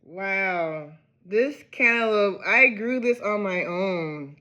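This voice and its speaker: Chatty, tired-sounding man with a high voice. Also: slow pace. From Ohio, USA. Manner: chatty and rambling, often breaks into excited exclamations. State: low on energy, tired. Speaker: gardening vlogger. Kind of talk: casual chat to camera.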